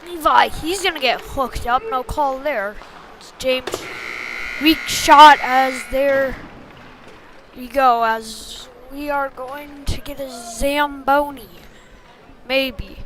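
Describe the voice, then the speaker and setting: A woman's voice: high voice, slow pace, a bit bright, a little hiss or hum, low-quality recording. A woman giving informal amateur play-by-play of a youth hockey game in a partisan, homespun way. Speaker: play-by-play commentator.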